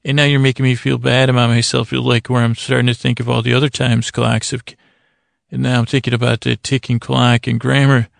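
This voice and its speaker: A man with a heavily fried, gravelly voice hosts a bedtime podcast in a dry, echo-free recording. His pitch sits in the middle range. He is gentle and soothing, wandering and stumbling through halting, self-interrupted thoughts meant to help people drift off. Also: little pitch movement. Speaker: podcast host. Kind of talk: rambling bedtime monologue to lull listeners to sleep.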